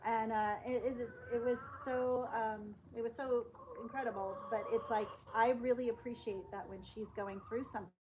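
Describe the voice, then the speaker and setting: A woman sharing personal experiences casually, in an earnest, halting, emotional way, on a live stream. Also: a little high, steady tone, lo-fi sound. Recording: noisy live stream, clicks, roosters.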